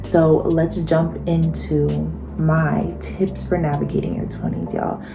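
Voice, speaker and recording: A woman's voice: low voice, flat delivery, slow pace, gravelly, music in the background. A woman in her twenties giving life advice in a casual vlog, chatty and emphatic, often checking in with her viewers. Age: 20s. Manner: chatty and emphatic, frequent "okay?" and "y'all".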